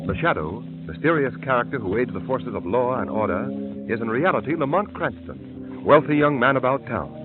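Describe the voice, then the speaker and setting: A man's voice: medium pitch, animated, a little fast. Formal old-time male radio announcer. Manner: formal, emphatic. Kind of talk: 1930s radio commercial and narration. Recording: tinny old radio broadcast with music.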